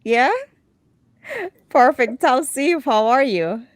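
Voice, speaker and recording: A woman's voice: high-pitched, expressive, slow pace, no echo, studio quality. A woman hosting a talk show, chatting casually with her guests and repeating words as she starts sentences. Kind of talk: casual interview chat.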